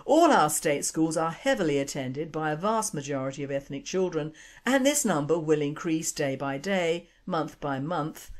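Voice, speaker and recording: A low-pitched older woman delivers a scripted radio commentary. She speaks emphatically and with indignation, pushing her points with rhetorical questions. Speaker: radio show host.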